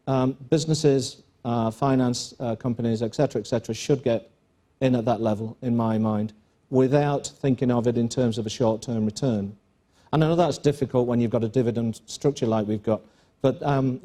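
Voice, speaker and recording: A middle-aged English school principal explaining his views in a measured way on a conference stage panel, with dry jokes. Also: mid-range voice, warm tone. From England.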